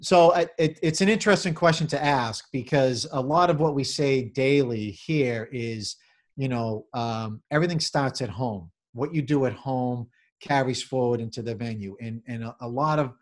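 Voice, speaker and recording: A middle-aged man answering interview questions on a video call as a venue manager, in halting corporate phrasing with repeated words. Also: mid-range voice. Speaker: convention center general manager, interview guest. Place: small office, indoors. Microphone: computer or webcam on video call.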